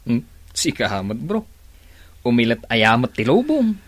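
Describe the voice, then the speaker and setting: Young radio drama suitor, Ilocano accent, husky, swinging intonation, teasing banter. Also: male voice, mid-range voice, huge pitch swings, measured pace, no room sound. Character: young man, smooth-talking teasing suitor and buddy.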